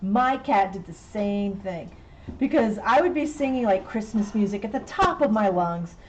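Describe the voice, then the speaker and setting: A woman's voice: medium pitch, animated, normal speed, a little hiss or hum, low-quality recording. A middle-aged woman teaching a painting class, chatting and laughing as she works in an indoor studio. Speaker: painting instructor. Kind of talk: casual chat while painting live.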